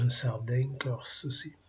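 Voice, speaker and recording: A man with a cold and a French accent giving an informal weather briefing, halting and full of fillers as he talks through maps. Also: medium pitch, flat delivery, bright, forceful tone, dull audio. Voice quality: gravelly, husky. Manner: halting, many fillers, thinking aloud over weather maps. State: has a cold, clears throat. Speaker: amateur weather forecaster.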